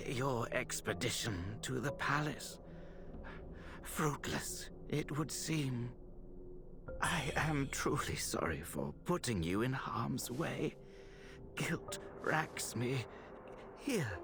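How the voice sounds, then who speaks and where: Slow, creaky-voiced solemn healer. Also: male voice, mid-range voice, lively intonation, background noise. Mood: remorseful, courteous. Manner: formal, measured, gentle phrasing. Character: courteous, solemn male Taoist healer of a temple. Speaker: non-player character in a video game. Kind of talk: dramatic video game dialogue. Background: background music.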